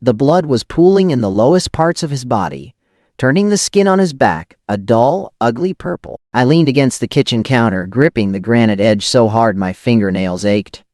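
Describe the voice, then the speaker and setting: A man playing a cynical, rattled forger who narrates a noir thriller audio drama in dramatic, clipped phrases with weighty pauses. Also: mid-range voice, animated, darkish tone, dry recording, studio quality, natural voice. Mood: shaken, tense. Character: hardboiled, rattled forger of false evidence, cynical first-person narrator.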